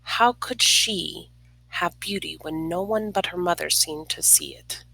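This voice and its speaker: Slow, creaky-voiced woman reading a storybook. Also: lowish voice, bright, forceful tone, no echo, low-quality recording. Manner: dramatic pauses, drops to near-whisper. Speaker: storybook reader. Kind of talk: expressive children's read-aloud with casual asides.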